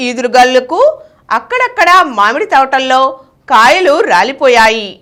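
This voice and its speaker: A woman reading scripted news in a formal, clearly enunciated manner. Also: high-pitched, a bit bright. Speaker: news reader.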